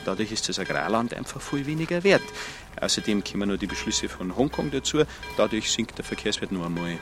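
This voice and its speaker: A man's voice: on the low side, lively intonation, brisk. An actor playing an evasive, officious male bank clerk, placating and bureaucratic, in a radio drama. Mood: hushed, explaining. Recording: music behind the voice.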